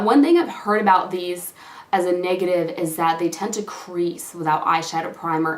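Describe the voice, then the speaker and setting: Woman, slightly hoarse from a cold, lowish voice, chatty and gushing, echoey room. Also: a bit bright. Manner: chatty, gushing, many fillers like "like" and "you know". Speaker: beauty vlogger. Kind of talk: casual product review to camera.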